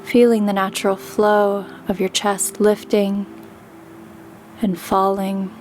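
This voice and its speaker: A woman leading a guided meditation with calm, gentle instruction and pauses between phrases. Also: medium pitch. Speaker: meditation guide, yoga teacher. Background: background music.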